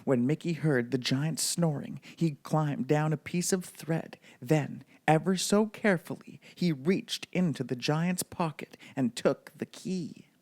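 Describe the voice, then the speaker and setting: Male storybook reader, bedtime narration, unhurried pace. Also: a little high, a little mellow.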